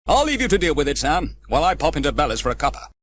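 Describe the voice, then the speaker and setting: A man's voice: a little high, big pitch swings, very fast, a bit bright, slight background noise, pitched above the performer's own voice. A man voicing a commanding middle-aged fire station officer in a children's storybook, clipped, authoritative and emphatic. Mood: matter-of-fact.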